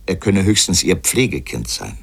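Deep voiced guy reading a literary radio essay, kinda sardonic and emphatic. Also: big pitch swings, moderate pace, gravelly, slightly rough. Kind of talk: scripted literary radio narration.

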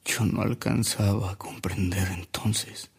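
Old man, radio drama, very hoarse and husky, slow-paced, reflective, remorseful storytelling. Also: fairly low pitch, fairly even intonation, exaggerated voice. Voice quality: gravelly, husky, breathy. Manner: slow, reflective, with coughs and pauses. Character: frail old man confessing his past to Lola, remorseful. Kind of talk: radio drama narration in first person.

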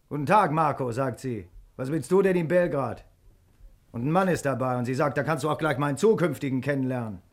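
An aggrieved, plain-spoken man in a 1950s radio drama. The voice is a little high, and he talks bluntly and emphatically, as someone quick to take offence.

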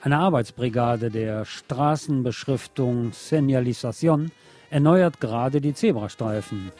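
A man hosting a late-night radio show, delivering a literary scripted monologue in a deliberate, poetic storytelling way. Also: medium pitch, darkish tone. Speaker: radio host. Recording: music playing under the voice. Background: music.

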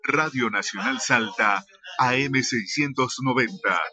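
A man's voice: medium pitch, unhurried, a crowd in the background. A man reading a scripted radio station identification as the station announcer. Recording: dull broadcast sound over music. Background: music bed.

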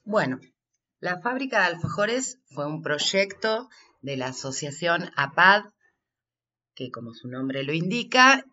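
Argentine woman interviewee, bright, forward voice, unhurried and halting explanations, slightly muffled recording. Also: low voice, a little hiss or hum. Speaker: workshop coordinator being interviewed. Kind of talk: unscripted interview answers.

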